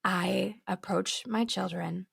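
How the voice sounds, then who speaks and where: A hoarse woman with a heavy, gravelly creak runs through each sentence in a dry, close sound. She teaches in a candid, casual way and laughs between her points, as a podcast host recording at home. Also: mid-range voice, fairly even intonation, slow, a bit bright, no echo. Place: home with children nearby. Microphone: studio microphone, close.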